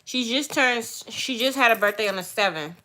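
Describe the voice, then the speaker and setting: A woman, chatting casually and candidly with viewers, rambling and turning soft and heartfelt as she talks. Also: a little high, moderate pace. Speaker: vlogger answering viewer questions. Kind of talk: casual livestream chat.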